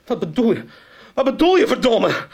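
An actor playing an obsessed, threatening man in a radio soap, halting and insistently repeating his words. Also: very high voice, sing-song, vocal fry, darkish tone, slight background noise, full character voice. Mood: furious, desperate. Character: obsessed, desperate man hunting lost paintings, threatening. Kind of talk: 1990s radio soap acting.

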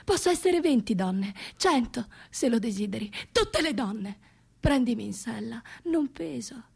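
Husky, passionate, pleading lover. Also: female voice, on the high side, lively intonation, a little slow, full character voice. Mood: pleading, seductive. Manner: theatrical, imploring. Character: passionate, pleading woman devoted to a brutal warlord. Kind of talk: radio drama acting.

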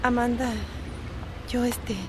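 An actress playing a timid, apologetic, hesitant wife in a Latin American Spanish radio soap opera. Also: female voice, a little high, monotone, slow, background noise. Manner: hesitant, stammering. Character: Vicky, timid, embarrassed, apologetic neighbourhood wife.

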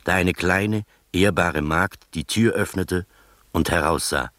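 Radio drama narrator: earnest, slightly anxious gentleman, low-pitched, articulate, dry sound. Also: unhurried, no echo. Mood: measured storytelling. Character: earnest, anxious male friend narrating a detective tale.

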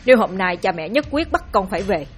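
Brief: a woman playing a young man pleading with his parents, resolute and loud, breathy tone. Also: medium pitch, very animated, fast. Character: desperate young son defying his father.

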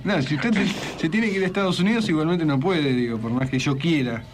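A man's voice: fairly high pitch, a little fast, over music. A man with a Buenos Aires accent co-hosting a comic radio interview, questioning and contradicting. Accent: Argentine, Buenos Aires. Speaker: radio co-host.

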